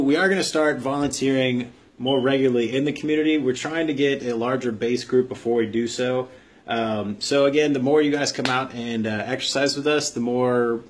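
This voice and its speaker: A man's voice: medium pitch, slight background noise. A man hosting a vlog, giving motivational advice in an informal, earnest, explanatory way. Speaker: vlog host, army veteran. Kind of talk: motivational advice talk to camera.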